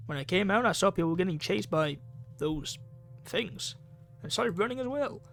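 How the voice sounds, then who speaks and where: Guy doing a wounded student from a visual novel, kinda high, shaky and hesitant, bit of fry. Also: animated, normal speed, fairly bright tone, soft background music, full character voice. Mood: shaken, hesitant. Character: injured, shaken male student survivor of monster attack. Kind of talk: visual novel dialogue read aloud.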